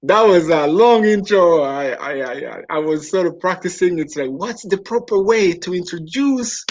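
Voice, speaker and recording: A man's voice: high voice, expressive, moderate pace. A man from Rwanda hosting a casual online interview, chatty and full of fillers and restarts. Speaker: host.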